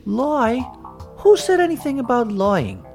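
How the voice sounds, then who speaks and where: A man voicing a pushy, scheming male lawyer who wheedles and coaxes in a raised, lively voice. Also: extremely high pitch, measured pace, creaky, faint music behind, pitched above the performer's own voice, exaggerated voice. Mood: wheedling.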